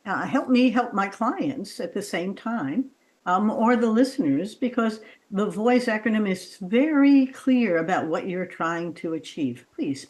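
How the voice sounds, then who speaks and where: A woman's voice: medium pitch, animated, unhurried, darkish tone. A woman podcast host and coach who explains her ideas thoughtfully and draws out her guest. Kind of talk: interview conversation.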